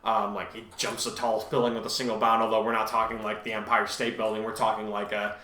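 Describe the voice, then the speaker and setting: A man's voice: fairly low pitch, monotone, fast, bright, forceful tone. A man in casual, chatty conversation, answering reviews as a co-host in an informal way. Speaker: fan fiction author and co-host. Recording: echoey room.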